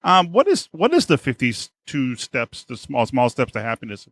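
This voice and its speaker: A man with a mid-pitched voice hosts a casual interview in a dry, echo-free sound. He speaks haltingly, often searching for the right words. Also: wildly swooping pitch, a bit bright. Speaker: podcast host.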